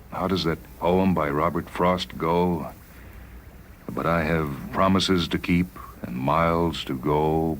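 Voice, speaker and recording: Dying spaceman, low voice, wistful and halting, radio drama, noisy recording. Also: exaggerated voice. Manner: hesitant, dramatic delivery with repeated words. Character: dying spaceman, wistful, earnest, reflecting on his life. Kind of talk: dramatized short story acting.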